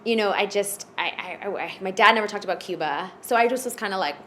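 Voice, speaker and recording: A woman's voice: mid-range voice, fast, sharp tone, slight background noise. A woman from Florida, a podcast guest, telling her personal story in a casual, hesitant way with lots of fillers and restarts. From United States (Florida).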